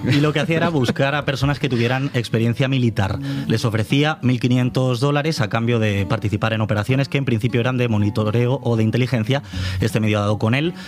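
A man's voice: mid-range voice, quick pace. A man telling international news stories as a radio reporter.

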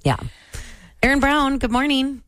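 A woman's voice: sits high, steady tone, a bit bright, no echo. A woman co-hosting a morning radio show with playful, teasing banter. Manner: playful, teasing, quick to laugh. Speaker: radio co-host. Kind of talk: casual morning radio chat.

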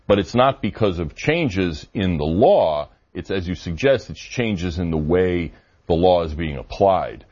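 A man answering interview questions on policy, measured and argumentative, with frequent fillers. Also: deep voice, expressive, a little mellow. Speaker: immigration policy guest. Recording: dull lo-fi audio.

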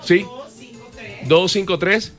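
Excitable high-voiced Colombian male radio host. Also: slow pace, loud music behind the voice. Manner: excitable, exclamatory. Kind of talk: casual live radio banter with prank-style birthday calls.

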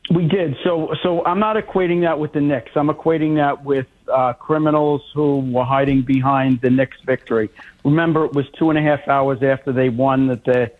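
A man co-hosting a radio talk show, speaking in a measured way with some restarts. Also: mid-range voice, fairly even intonation. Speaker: radio co-host. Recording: tinny phone-like line.

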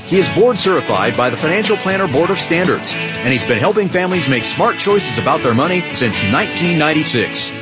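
Lively male announcer over country guitar music. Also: fairly high pitch, fairly quick, few pauses. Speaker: show announcer. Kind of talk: scripted promotional intro narration.

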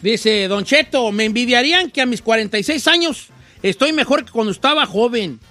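High, bright-voiced Mexican male radio host. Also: expressive, fairly quick. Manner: slangy, teasing. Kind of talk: comedic casual radio banter, reacting to listener messages.

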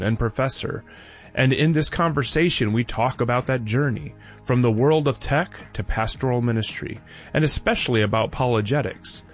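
Guy hosting a radio show, scripted intro read, medium pitch, bit of music under it.

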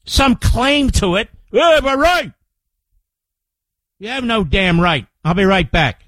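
A man's voice: sits high, expressive, slow pace, a bit bright, no room sound. An older man with an American accent hosting a political talk radio show, emphatic and combative, repeating phrases to drive his point home. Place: radio studio. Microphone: close studio microphone.

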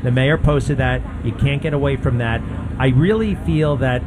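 A man hosting a casual live interview outdoors, talking in a halting, conversational way. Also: mid-range voice. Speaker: interviewer, event host. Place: outdoors on a city avenue at a public event. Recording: noisy city street outdoors.

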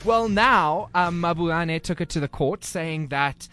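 Male radio host, bright animated delivery, casual banter. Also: fairly high pitch, unhurried, clean tone, faint music behind. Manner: laughing, chatty, hesitant with ums.

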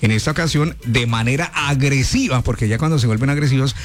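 A man's voice: mid-range voice, fast talker. Chatty radio host man. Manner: chatty, teasing, talks over others. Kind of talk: joking radio chat.

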